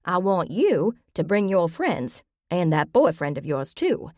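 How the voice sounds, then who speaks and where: A woman's voice: on the low side, very animated, a little slow, darkish tone, no room sound, studio quality. A woman voicing a stubborn, feisty old grandmother with an emphatic, folksy way of talking in an audiobook. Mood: insistent. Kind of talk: audiobook character voice.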